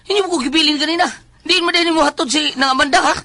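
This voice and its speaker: A man voicing an excitable, nosy companion in a horror audio drama, with a Filipino accent and insistent, exclamatory talk. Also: extremely high pitch, fast, no echo, full character voice. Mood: insistent, curious.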